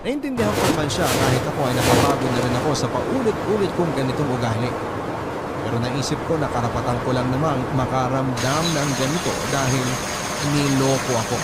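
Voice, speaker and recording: Male story narrator over loud noise. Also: mid-range voice. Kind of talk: scripted first-person story narration.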